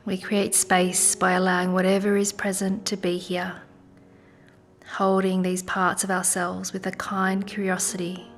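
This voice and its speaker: A woman leading a guided meditation in a soft, gentle, soothing manner with unhurried pauses. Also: mid-range voice, completely flat delivery. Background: music.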